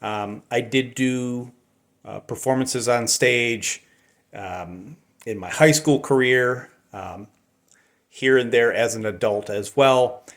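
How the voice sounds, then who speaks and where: A middle-aged man tells personal stories casually. His delivery is unhurried and reflective, halting between short phrases, at an average male pitch. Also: fairly bright tone. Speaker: storyteller, amateur voice actor.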